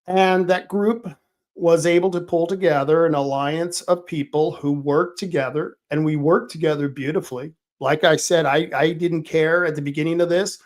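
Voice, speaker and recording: A middle-aged man with a somewhat high voice hosts a political livestream. He talks casually, with many restarts and fillers. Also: steady tone, normal speed. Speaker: political talk show host.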